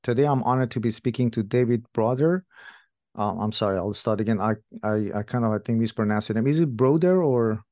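Guy hosting a podcast, polite and a bit careful, normal pitch, really dry sound. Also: a little fast, warm tone, dry recording. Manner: polite, careful, apologetic over names. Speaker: podcast host. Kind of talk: podcast interview.

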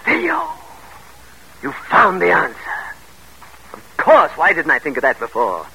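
A man's voice: on the high side, huge pitch swings, measured pace, vocal fry, sharp tone, slight background noise, full character voice, rough recording. An actor playing an ambitious, commanding middle-aged politician father in an old-time radio drama, with emphatic theatrical delivery. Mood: excited, scheming. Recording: old radio broadcast recording.

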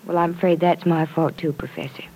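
An actress playing a gentle, guarded but kind young woman in an old-time radio drama, speaking politely and softly. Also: sits low, fairly even intonation, brisk, gravelly, slightly rough, full character voice. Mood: resigned, regretful. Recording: noisy vintage radio show recording.

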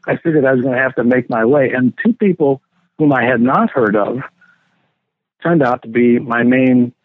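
A man's voice: medium pitch, no echo. An older man, a theology professor, reminiscing unhurriedly as an interview guest, often correcting himself and chuckling now and then. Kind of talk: relaxed reminiscing interview talk. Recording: tinny phone-like dry line.

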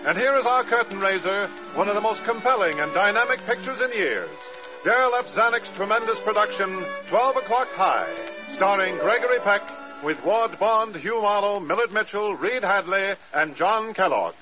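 A male announcer in the grand old radio manner, formal and dramatic. His voice is high, and the recording is muffled with music clearly behind him. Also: animated, moderate pace.

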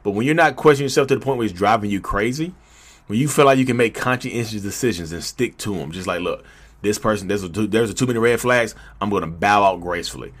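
A man's voice: fairly low pitch, quick pace. A man hosting a podcast, talking casually and emphatically to his listeners and repeating his points for stress. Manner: emphatic, repeats himself, addresses listeners as y'all. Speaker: podcast host. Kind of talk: casual motivational monologue.

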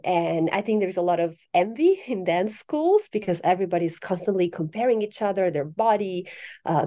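Animated Italian-born woman, warm mellow voice. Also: mid-range voice. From Italy. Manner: animated, fillers and restarts, rising emphasis on key words. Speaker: philosophy professor, guest author. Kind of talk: academic interview conversation.

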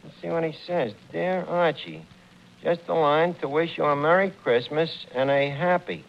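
An actor playing a brash, boastful, slangy tavern manager in old-time radio comedy. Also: male voice, a little high, normal speed, creaky. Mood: wisecracking. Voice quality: colloquial, slangy delivery. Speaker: bar manager in a radio sitcom. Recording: dull, noisy old radio recording.

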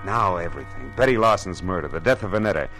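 An American actor playing a tough, wisecracking private detective in a 1940s radio noir, slangy and sardonic. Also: male voice, deep voice, expressive, quick pace, a bit bright, faint music behind. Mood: grim narrating.

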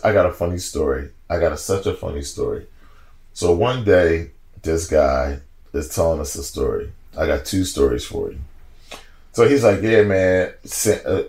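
A man in his forties, a podcast guest, telling stories in a casual, slangy, animated way and laughing as he goes. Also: deep voice. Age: 40s. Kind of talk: casual storytelling chat.

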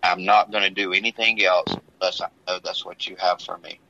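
A man who is a pastor, talking earnestly and at a measured pace about his own story, often restarting his sentences. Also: low-pitched, steady tone, vocal fry, pushed and bright. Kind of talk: casual talk sharing a testimony. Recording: dull lo-fi sound.

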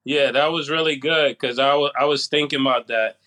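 Man on a podcast hollering catchphrases, bright forward voice, joking around. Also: mid-range voice, fairly even intonation, a little fast. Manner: shouts catchphrases, loose and joking. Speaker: podcast co-host. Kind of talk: casual chat. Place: podcast studio.

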